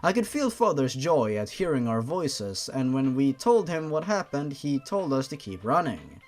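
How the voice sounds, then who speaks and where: A man's voice: mid-range voice, big pitch swings, normal speed, faint music behind. A man reading a story aloud like a sarcastic host, with an exasperated, mocking edge. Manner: sarcastic, exasperated, profane asides.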